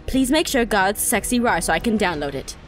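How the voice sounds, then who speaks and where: A woman's voice: medium pitch, expressive, brisk, soft background music. A woman hosting and narrating a comic fan fiction reading in a playful, giggly, exclaiming manner. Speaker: host and narrator. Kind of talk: reading fan fiction aloud, improvised comedy.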